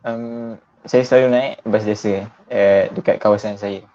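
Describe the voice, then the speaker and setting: A young man in his twenties from Malaysia, a university student answering interview questions politely and in a measured way. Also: on the low side, steady tone, dark tone. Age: 20s. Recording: dull lo-fi sound.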